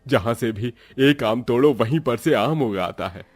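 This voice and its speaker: A man playing a humble, devout middle-aged farmer and father in an animated moral story, speaking earnestly with exclamations. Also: mid-range voice, a little slow, no room sound, clean studio sound. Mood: earnest, insistent. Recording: faint music behind.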